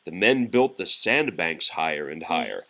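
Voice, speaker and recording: A man reading a children's storybook aloud expressively, pausing to chat and answer questions from young listeners. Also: low-pitched, very animated, vocal fry, bright, forceful tone.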